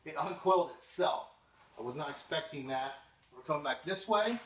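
A man's voice: a little high, expressive, moderate pace, fairly bright tone. Man demoing gear in his garage. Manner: casual, explaining while working with his hands. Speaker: car detailing channel host. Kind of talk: casual product demo. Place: home garage with tiled floor.

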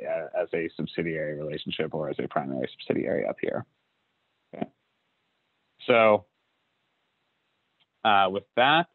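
A man giving a relaxed screen-recorded software walkthrough, with lots of uh and you know. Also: deep voice, no echo. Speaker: software instructor. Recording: dry tinny lo-fi audio.

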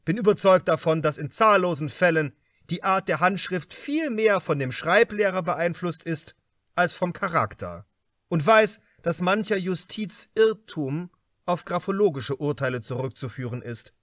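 A man reading a crime novel as an audiobook narrator, in a measured, expressive and carefully articulated way. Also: high voice, dry recording, clean studio sound.

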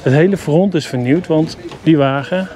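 A man's voice: on the high side, normal speed, a crowd in the background. A man casually walking through a motorhome, chatty and enthusiastic with mild grumbling. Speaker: vlogger reviewing campers. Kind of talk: casual walkthrough vlog. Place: inside a motorhome at a product show. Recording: noisy camera audio.

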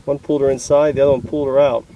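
A man quietly narrating to himself while filming beehives outdoors on a handheld camera. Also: mid-range voice, flat delivery, very fast, highs cut off, noisy background. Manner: soft-spoken, musing aloud to himself. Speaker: beekeeper vlogger. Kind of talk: casual running commentary while filming. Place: outdoors at a bee farm. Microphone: handheld camera mic. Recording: outdoor camera audio with insects buzzing. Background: bees buzzing and rustling grass.

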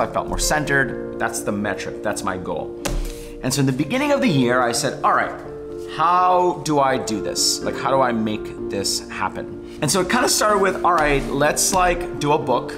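An enthusiastic man in his thirties chats casually to camera in a living-room studio. His lively, quick delivery stays upbeat throughout. Plucked guitar music sits clearly behind his voice. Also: mid-range voice. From Bangladesh, raised in New York. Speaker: vlogger, weekly channel host. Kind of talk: casual vlog update to camera.

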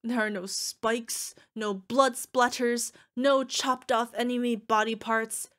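A woman acts out a young orc girlfriend in an audio roleplay. Her voice is fairly high, and she is gushing, needy and playful. She is fierce yet cutesy, speaking intimately to a partner. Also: fairly even intonation, slow pace, a bit bright. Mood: playful, defensive. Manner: gushing, emotional, playful, talking to an unheard partner. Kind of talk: ASMR girlfriend roleplay acting.

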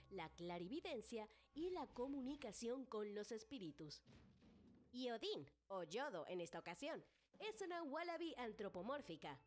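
A woman reading scripted, informational narration as a reviewer presenting characters. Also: a little high.

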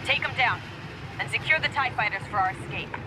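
An actress playing a steely imperial special forces commander in a video game, commanding, clipped and resolute. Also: female voice, a little high, animated, fairly quick, natural voice. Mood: firm, commanding. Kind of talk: video game military drama acting. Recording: game audio under loud orchestral music.

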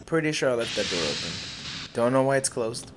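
A man's voice: mid-range voice, big pitch swings, fairly quick, background noise. A young man giving casual, slangy, foul-mouthed gameplay commentary, often muttering repeated phrases to himself. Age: young adult. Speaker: gamer recording a speedrun. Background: loud game soundtrack.

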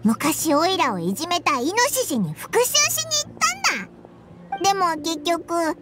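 A woman voicing a small, childlike fairy companion in an anime game, cocky and greedy, with squeaky, rough boyish speech. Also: big pitch swings, normal speed, over loud music. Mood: lively storytelling. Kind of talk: Japanese anime game acting.

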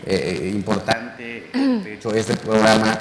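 A man in his thirties from Mexico hosting a relaxed interview, talking with animated gestures in a cultural center room. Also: fairly low pitch, slow, slight background noise. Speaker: show host. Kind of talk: conversational interview. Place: cultural center courtyard, indoors. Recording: echoey room with long reverb.

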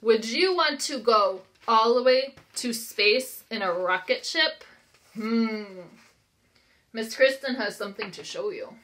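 A woman's voice: on the high side, expressive, slow, fairly bright tone. An American woman in her thirties, a preschool teacher reading aloud to young children with simple, encouraging phrasing and questions. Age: 30s. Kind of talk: interactive read-aloud lesson for young children.

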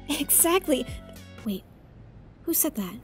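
A woman's voice: sits high, big pitch swings, slow, full character voice. A woman playing a friendly, agreeable young superhero in a comic dub, with prominent music behind. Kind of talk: acted comic dub dialogue.

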